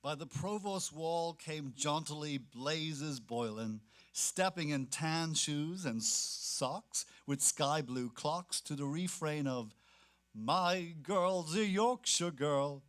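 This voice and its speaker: A man's voice: a little high, expressive, slow pace, fairly bright tone. A man reading literary prose aloud as an actor in a dramatic staged reading.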